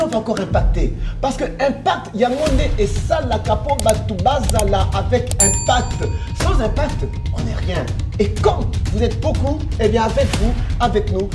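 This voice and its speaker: A man hosting an online talk show, energetic and hyping the audience as he opens the episode. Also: high-pitched. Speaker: host. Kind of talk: show intro and casual chat. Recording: music behind the voice.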